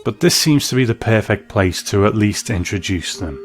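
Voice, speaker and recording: A man with a lowish voice presents scripted commentary as a host. He sounds reflective and conversational, with music playing faintly behind him. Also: animated, normal speed. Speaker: host and reviewer.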